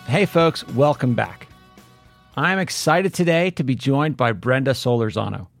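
A man's voice: medium pitch, animated, a little slow, gravelly, soft background music. A man hosting a podcast interview, asking questions and offering brief acknowledgments. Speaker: podcast host. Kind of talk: conversational interview.

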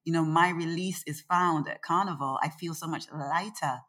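A woman with a very low voice talks as a guest in a relaxed interview. She is expressive and chatty. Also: moderate pace. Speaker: guest, organization founder. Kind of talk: casual conversational interview. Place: in Nevis.

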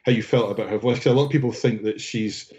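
A man's voice: on the low side, fast talker. Rambling man on a lo-fi podcast. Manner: rambling, animated, filler-heavy. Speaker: podcast co-host. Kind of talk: casual album discussion.

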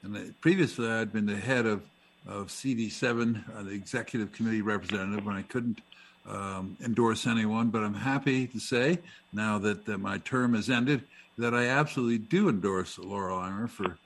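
A man co-hosting a casual talk radio show, hesitant with many uhs, trailing off softly. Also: on the low side, moderate pace.

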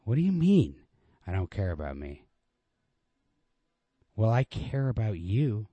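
Man voicing an insistent, cajoling young man, raised pitch. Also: wildly swooping pitch, measured pace, breathy edge, no room sound, muffled recording, exaggerated voice. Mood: insistent, placating. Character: insistent young American man pressing his girlfriend, anxious, cajoling.

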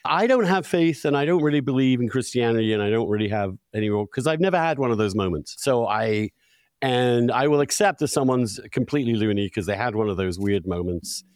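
A man's voice: medium pitch, expressive, brisk, a little mellow, dry recording, clean studio sound. A man hosting a podcast, interviewing in a conversational, probing and emphatic way. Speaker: podcast host.

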